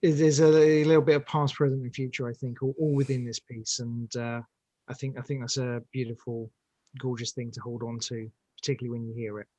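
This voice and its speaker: A man's voice: mid-range voice, little pitch movement, a little fast, dark tone, dry recording. A British man talking as an interview guest, enthusiastic but searching for his phrases as he goes. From United Kingdom. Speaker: interview guest, audio drama director. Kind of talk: conversational interview.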